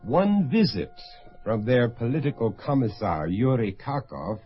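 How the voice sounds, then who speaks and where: A man narrating an old radio drama in a measured, grave way. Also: medium pitch, sing-song. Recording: dull old radio recording with music.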